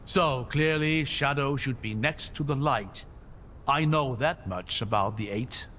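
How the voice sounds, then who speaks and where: A man's voice: medium pitch, measured pace, fairly bright tone, a little hiss or hum, close to an everyday voice. An actor playing a middle-aged, curious and articulate scholar father in a video game, musing in a learned way. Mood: scholarly, focused. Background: game soundtrack music.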